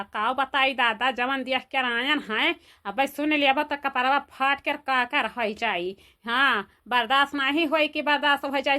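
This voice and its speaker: A bright, high voice with an Awadhi accent: an older village mother-in-law acted by a woman in a comedy cartoon. She scolds hard and keeps hammering the same indignant questions. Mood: alarmed, indignant. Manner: scolding, repetitive rhetorical questions.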